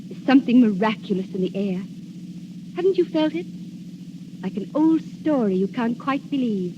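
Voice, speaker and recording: A woman's voice: on the high side, expressive, moderate pace, close to an everyday voice. An actress playing a dreamy, wistful young woman in a romantic, theatrical vintage radio drama style. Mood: dreamy, evasive. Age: young adult. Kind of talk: 1930s-40s radio acting. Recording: noisy, muffled old radio broadcast recording.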